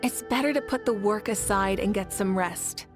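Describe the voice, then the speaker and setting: A woman voicing a confident, composed leader in a video game, speaking in a measured, articulate and thoughtful way over music. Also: mid-range voice, close to an everyday voice. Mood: calm, reflective. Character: confident, composed warrior leader, a self-described workaholic idealist. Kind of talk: video game character dialogue.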